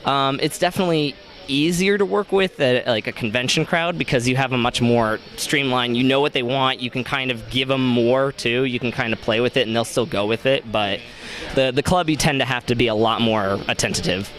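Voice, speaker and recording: A man's voice: mid-range voice, fairly quick, fairly bright tone. A young man with a mid-range voice gives casual, conversational answers as the guest in an interview. He sounds like a DJ chatting informally about his own work. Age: young adult.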